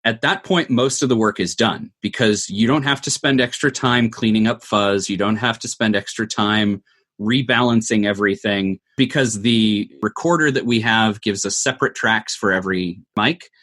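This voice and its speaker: Guy with a lowish voice, chatty interview answers, earnest and emphatic, really dry sound. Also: moderate pace, a bit bright, no room sound. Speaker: podcast producer, guest. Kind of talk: conversational interview answer, giving advice.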